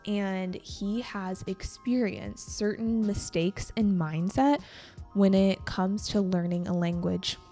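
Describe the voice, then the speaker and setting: A young woman hosting an English-learning podcast, talking calmly and encouragingly as a teacher. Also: mid-range voice. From United States. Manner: calm, encouraging, slow and deliberate. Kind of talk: scripted educational podcast talk.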